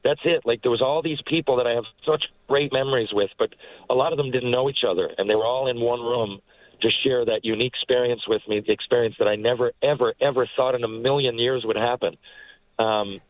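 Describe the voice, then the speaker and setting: A man's voice: mid-range voice, fairly even intonation, fairly quick, no echo, low-quality recording. A man in his forties from Newfoundland, a guest who talks in a heartfelt, rambling way with many restarts. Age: 40s. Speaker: guest, retired hockey player. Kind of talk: casual interview talk.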